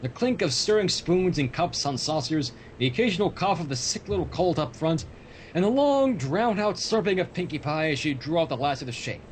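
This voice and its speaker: A man's voice: lively intonation, brisk. A man hosting a livestream, reading a story aloud and breaking off for joking asides. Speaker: livestream host reading fan fiction aloud. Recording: noisy livestream audio with low rumble.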